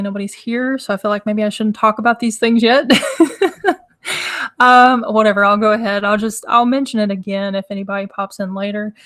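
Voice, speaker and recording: Hoarse, nasal woman streamer. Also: fairly high pitch, fairly bright tone. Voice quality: crackly, nasal. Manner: chatty, rambling, laughs often. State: hoarse, congested. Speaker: host. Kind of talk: casual live stream chat. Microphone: microphone.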